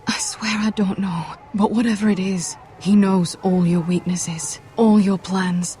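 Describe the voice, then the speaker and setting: A woman voice acting a guarded, earnest young noblewoman in a fantasy game drama, speaking in grave, measured, formal phrases. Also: medium pitch, steady tone, mellow, slight background noise, close to an everyday voice. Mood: urgent, grave.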